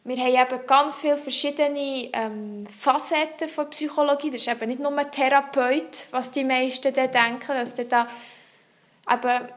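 Young female student, high voice, French; earnest and explanatory, searching for words in an interview. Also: steady tone, moderate pace. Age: young adult. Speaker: psychology student. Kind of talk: answering interview questions.

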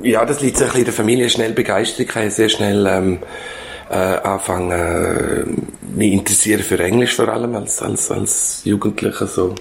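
Low, creaky-voiced Swiss German man. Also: background noise. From Switzerland. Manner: halting, searching for words. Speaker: former schoolteacher being interviewed. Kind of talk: reflective interview talk.

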